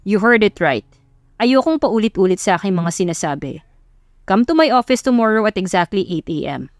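A woman's voice: mid-range voice, lively intonation, normal speed, dry recording, studio quality, close to an everyday voice. A woman voicing a curt, bossy young male playboy CEO with a commanding, impatient manner in an audio love story.

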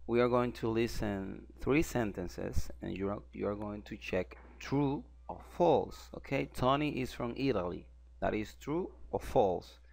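Male teacher with Spanish as his first language, explains slowly and repeats every phrase, dry close mic. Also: lowish voice, gravelly, no room sound. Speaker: English teacher. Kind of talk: English lesson explained in Spanish.

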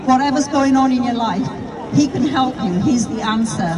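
A middle-aged British woman gives an earnest open-air sermon on a crowded shopping street. She speaks into a handheld mic over a street speaker, heard from some distance, with a steady murmur of shoppers all around. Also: fairly high pitch, moderate pace, a crowd in the background. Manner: earnest, with repeated rhetorical questions. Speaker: street preacher. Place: busy pedestrian shopping street outdoors. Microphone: handheld mic through a street speaker.

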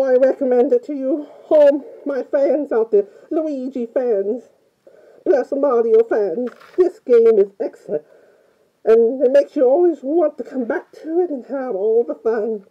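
Timid Italian plumber man voiced by a woman, put-on Italian accent, nervous, rambling, high voice, muffled lo-fi sound. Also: a little slow. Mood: nervous chatty. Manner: nervous, rambling, frequent "oh" and "mamma mia". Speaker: fan playing a video game character. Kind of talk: in-character game review.

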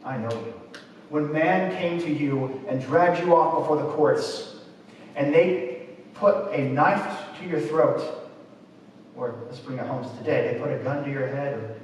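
A man's voice: fairly high pitch, unhurried, a little mellow. Young guy giving a sermon, really echoey church, mic kinda far off, bit of background noise. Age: 20s. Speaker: preacher. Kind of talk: sermon with scripture readings. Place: church pulpit, indoors.

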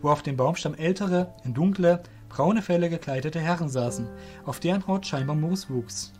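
A man's voice: a little high, moderate pace, darkish tone. Male fantasy narrator over music. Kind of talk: scripted fantasy audio drama narration.

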